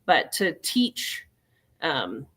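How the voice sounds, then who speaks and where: A woman's voice: low-pitched, wildly swooping pitch, extremely slow, a bit bright. A woman from Colorado talking casually as an author and book club host. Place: live online video chat.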